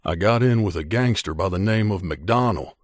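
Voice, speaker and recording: Man acting as low-voiced, guarded wasteland mercenary. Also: moderate pace, dry recording, studio quality. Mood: guilty, confessional. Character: guarded young male mercenary companion in a post-apocalyptic wasteland, guilty, earnest. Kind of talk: video game companion dialogue, confiding.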